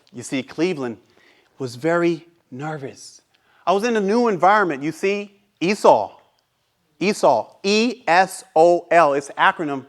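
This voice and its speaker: A man whose first language is Haitian Creole, giving a prepared inspirational speech as a guest at a speaking club, turning between loud and hushed and asking rhetorical questions. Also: high-pitched.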